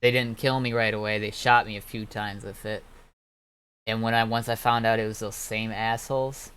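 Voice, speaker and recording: Rambling man with an even, flat delivery. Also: on the low side, moderate pace, smooth. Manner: rambling, swearing storyteller. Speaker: game server owner and admin. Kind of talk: casual anecdote told in voice chat. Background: music.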